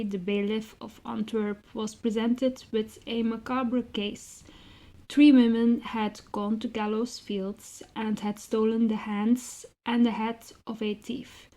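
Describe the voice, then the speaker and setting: A woman writer answering interview questions in a measured, articulate and reflective way with rather formal phrasing. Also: fairly high pitch, warm tone, rough recording. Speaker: interviewed writer and zine co-founder.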